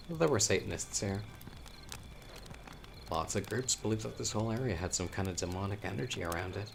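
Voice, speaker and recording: A man playing a chatty young storyteller with hidden menace in a horror audio roleplay, talking casually with wry asides and hesitant pauses. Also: lowish voice, gravelly, a little hiss or hum. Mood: wry, spooky.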